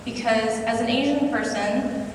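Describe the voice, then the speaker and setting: A woman's voice: a little high, unhurried, noisy background. A young woman with an American accent, raised in Singapore, telling a personal story at a conference and acting out bits of dialogue in a conversational way. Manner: conversational, acts out dialogue with shifting voices. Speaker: conference speaker. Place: university conference hall. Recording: distant, echoey hall with reverb.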